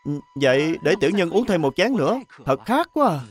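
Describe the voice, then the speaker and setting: An actor playing a young male servant in an animated drama, deferential, eager and given to whining. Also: mid-range voice, fairly quick, close to an everyday voice. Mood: whiny. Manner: deferential, whiny, exclamatory. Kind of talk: animated drama dub acting.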